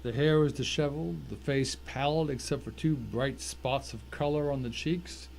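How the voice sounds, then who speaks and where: A man's voice: mid-range voice, moderate pace, gravelly, darkish tone, slight background noise. A man reading a scripted first-person thriller story as its narrator, in a dramatic, suspenseful way.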